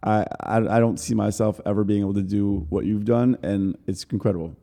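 A man's voice: on the low side, fairly even intonation, fairly quick, creaky, mellow. A man hosting a podcast interview, talking loosely with rambling questions and frequent restarts. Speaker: podcast host. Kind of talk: casual interview chat.

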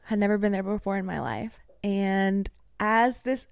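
A woman's voice: mid-range voice, expressive, normal speed, creaky, clean tone, dry recording. A young woman from East Tennessee, a podcast guest, ranting heatedly and swearing freely in casual conversation. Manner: heated, profanity-laced rants.

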